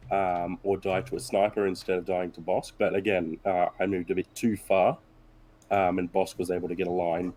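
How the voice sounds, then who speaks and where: Low-voiced man, sick and hoarse. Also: a little mellow. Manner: hesitant, apologetic, many fillers. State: sick, losing his voice. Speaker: tabletop game player. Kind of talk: post-game discussion.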